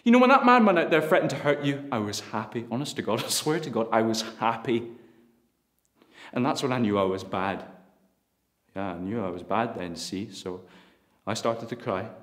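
A man's voice: mid-range voice, lively intonation, moderate pace, fairly bright tone, natural voice. Bitter guy who swears a lot, confessional, kinda echoey room, an actor doing it. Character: bitter self-loathing petty thief man. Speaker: actor in a scene. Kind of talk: dramatic monologue then comic dialogue.

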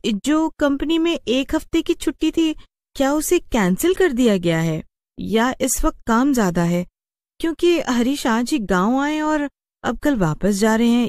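An earnest young village wife in a cartoon, played by a woman. Her voice is lifted high and sounds close and dry, with an expressive, questioning, pleading delivery. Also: no room sound. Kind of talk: animated story dialogue.

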